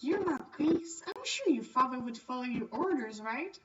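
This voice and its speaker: A woman's voice: high voice, moderate pace, far from the mic, highs cut off, background noise. A woman voicing an eager, stubborn little girl who pleads and insists in a visual novel. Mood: insistent. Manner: excitable, insistent, childlike.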